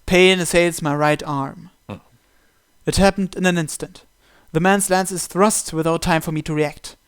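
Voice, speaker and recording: A man with a fairly high voice narrates a story in the first person. He reads expressively and dramatically, with the odd stumble, and the sound is dry with little room echo. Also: fairly even intonation, a little slow, clean tone. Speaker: video game player reading a visual novel aloud. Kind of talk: dramatic read-aloud narration.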